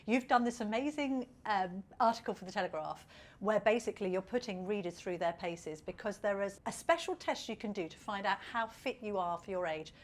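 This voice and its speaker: A woman in her forties co-hosting a casual chat, lively and teasing. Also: mid-range voice, brisk. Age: 40s. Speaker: co-host.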